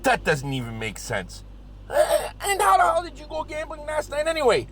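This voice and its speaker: Man acting high-pitched, swooping, exasperated comic guy. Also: normal speed, very noisy, exaggerated voice. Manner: expressive, exasperated. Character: excitable, exasperated men: hillbilly and pizzeria owner brother. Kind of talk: scripted comedy audio drama acting.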